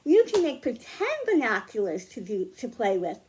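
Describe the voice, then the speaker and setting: A woman's voice: mid-range voice, very animated, normal speed, darkish tone. A woman hosting a children's storytime, speaking in simple, encouraging terms to young kids, with sing-song emphasis when reading and explaining. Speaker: children's librarian and storytime host. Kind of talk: children's storytime and craft demonstration.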